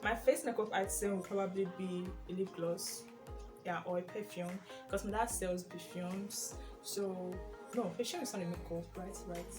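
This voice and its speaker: A young Nigerian woman with an Igbo first language, chatting casually through a question tag video in a lively, rambling way. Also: mid-range voice, moderate pace, music in the background. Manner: chatty and animated, with frequent fillers and laughs. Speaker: vlogger.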